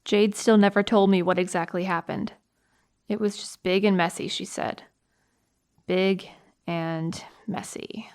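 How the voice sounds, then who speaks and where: Shaken high school girl played by a woman, mid-pitched, halting, emotional, for audio play testimony. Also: steady tone, a little mellow, clear, resonant tone, lightly acted. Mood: tender. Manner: halting, emotional, breaking into whispers. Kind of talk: naturalistic audio drama police testimony.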